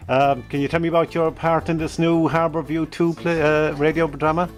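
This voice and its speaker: A man's voice: fairly high pitch, level tone, normal speed, soft background music. A man with an Irish accent asking questions in an informal radio interview.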